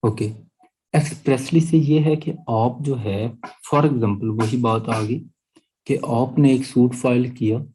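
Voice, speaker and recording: A Pakistani man lectures as a law teacher in a mellow, slightly husky voice. He moves slowly and deliberately through formal text and explanation, pausing to check that his listeners follow. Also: mid-range voice, poor audio. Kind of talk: online lecture, reading statute and explaining.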